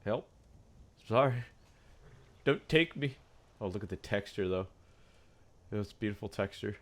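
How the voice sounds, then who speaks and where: A young man with a raspy, sore-sounding voice, casually commenting on his gameplay, often breaking into excited yelps between low mumbles. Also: a little slow. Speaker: gaming YouTuber.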